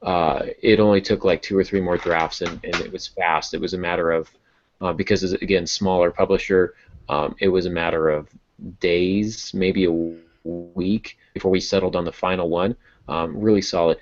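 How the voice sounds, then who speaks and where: A man with a deep voice chats casually as a podcast host. He feels his way through sentences and restates words as he goes. The recording is a bit dull and lo-fi. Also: fairly even intonation, moderate pace. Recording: dull, muffled lo-fi audio.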